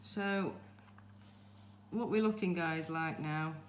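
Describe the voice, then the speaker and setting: A woman's voice: low-pitched, slow, roomy sound, a little hiss or hum. Greek woman with a Yorkshire accent. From Greece. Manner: chatty, reads out and greets viewers. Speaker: livestream host. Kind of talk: casual live craft stream chat.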